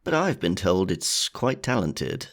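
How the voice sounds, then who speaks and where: A man's voice: deep voice, big pitch swings, unhurried, heavily acted. A man playing a roguish, guarded pirate in an audio drama, with a sly, curt way of talking. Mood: teasing. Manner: sly, teasing, curt when pressed. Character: Grayson, roguish guarded male pirate from London's gutters.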